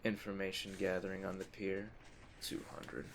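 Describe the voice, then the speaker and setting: A mafia Don, played by a man, speaks very low with a heavy gravelly creak. He is a courteous, measured crime boss with quiet menace, talking straight to the listener in an ASMR roleplay. Storm ambience runs faintly behind him. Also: completely flat delivery, a little hiss or hum. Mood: calm, quietly menacing.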